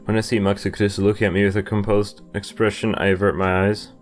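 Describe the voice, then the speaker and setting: A young man doing a gaming playthrough, reading text aloud and reacting casually into a close mic. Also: fairly low pitch. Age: young adult. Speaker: let's player. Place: indoors at a computer, webcam view. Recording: game music behind the voice. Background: game music.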